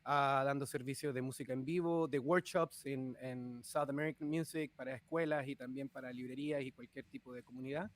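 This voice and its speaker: A man from northern Chile with a Chilean accent, a musician in his thirties, talking about his life in a relaxed way with many pauses and filler sounds. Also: medium pitch. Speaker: musician, guest. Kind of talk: conversational interview.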